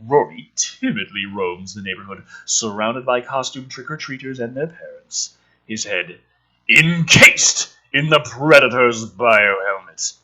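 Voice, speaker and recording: A man's voice: mid-range voice, animated, measured pace, pushed and bright, poor audio. A man doing a casual dramatic script reading as host, with sarcastic, profane ranting asides. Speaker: host reading a film script aloud with commentary.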